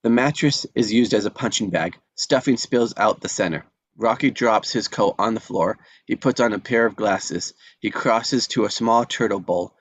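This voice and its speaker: A man's voice: on the low side, moderate pace, dry recording. A man reading out stage directions as narrator in a scripted table read.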